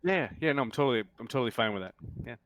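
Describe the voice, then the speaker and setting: A man's voice: medium pitch, animated, racing, fairly bright tone, a little hiss or hum. A man talking casually as the game master of a tabletop roleplaying game.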